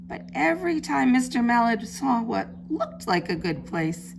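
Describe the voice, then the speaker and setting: A woman preschool teacher reading a story aloud to young children in simple, deliberate phrases. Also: medium pitch, gravelly. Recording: faint background noise.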